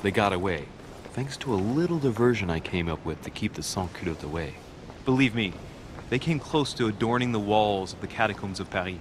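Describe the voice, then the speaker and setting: A man's voice: expressive, a little fast, noisy background, natural voice. A man playing a polite, self-assured young French diplomat in a video game, formal and questioning. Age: young adult. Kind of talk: video game cutscene acting. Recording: constant rumbling ambience behind.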